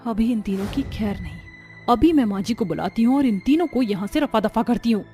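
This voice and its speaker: A woman's voice: on the high side, expressive, a little fast, dark tone, faint music behind, natural voice. A woman voicing a haughty, indignant young rich daughter-in-law in a cartoon story, scornful and complaining.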